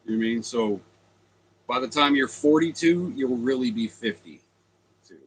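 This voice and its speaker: A man's voice: mid-range voice, moderate pace, slight background noise, rough recording. A man in his forties co-hosting a casual livestream, trading crude joking banter. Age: 40s. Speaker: livestream co-host.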